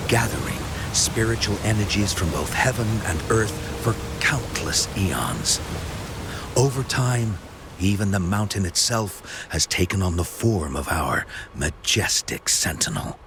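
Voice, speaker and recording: An actor playing a theatrical storyteller in a video game, spinning legends with measured, mysterious drama. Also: male voice, deep voice, expressive, over music. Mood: grand, inviting. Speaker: storyteller character.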